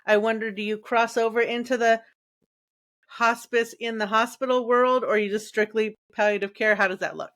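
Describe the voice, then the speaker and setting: A woman co-hosting a podcast, asking questions in a brisk, friendly way and keeping the talk on track. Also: a little high, steady tone, fairly bright tone. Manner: brisk and friendly, steers the conversation. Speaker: podcast co-host. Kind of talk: casual interview questions.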